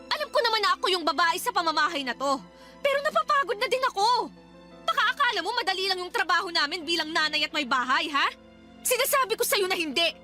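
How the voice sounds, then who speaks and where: High-pitched, exasperated Filipino young wife. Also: female voice, sharp tone, heavily acted. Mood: weary, pleading. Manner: scolding, pleading, emphatic rhetorical questions. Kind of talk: Filipino radio drama acting.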